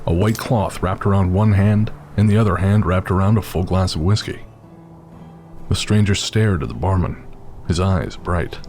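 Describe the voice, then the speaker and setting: A man's voice: sits low, moderate pace, darkish tone. A man giving scripted narration as the storyteller of a western horror audio drama. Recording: music bed behind the voice.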